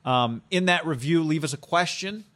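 A man, co-hosting a sports podcast in a laid-back, halting way and reading plugs for the show. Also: a little high, fairly bright tone. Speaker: podcast co-host.

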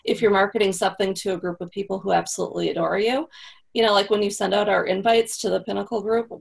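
A woman's voice: medium pitch, steady tone, fast talker, creaky, husky. A woman guest on a podcast interview, explaining practical tips in a casual, conversational way and checking in with "right?". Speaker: copywriting guest.

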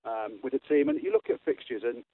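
A man's voice: mid-range voice, huge pitch swings, a little fast, gravelly. Blunt man on a phone line. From England. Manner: blunt, opinionated. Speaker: retired rugby player, guest pundit. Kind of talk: radio interview, call-in.

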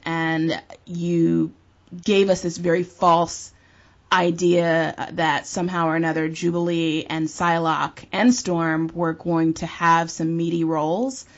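A woman giving emphatic, opinionated views in a casual film discussion, as a panelist. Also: lowish voice. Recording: dull, muffled lo-fi audio.

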